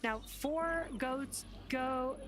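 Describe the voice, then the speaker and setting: A woman's voice: high-pitched, takes it slowly. A woman giving live broadcast commentary as a launch presenter.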